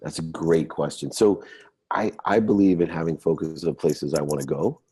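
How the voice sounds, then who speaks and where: Very deep-voiced man of fifty, motivational speaker. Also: steady tone, moderate pace, mellow, husky, no echo. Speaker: guest, motivational entrepreneur and speaker. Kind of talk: interview answers, motivational explanation.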